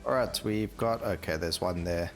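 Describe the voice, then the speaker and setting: Deep gravelly man doing gameplay commentary. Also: normal speed. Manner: thinking aloud, conversational, repeats himself. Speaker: gaming video host. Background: game music.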